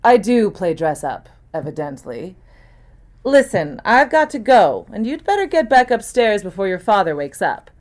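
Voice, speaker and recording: A woman's voice: medium pitch, big pitch swings, moderate pace, lightly acted. A woman voicing a tough, wry female detective in an audiobook, dry and matter-of-fact. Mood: wry, brisk. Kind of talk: acted audiobook dialogue.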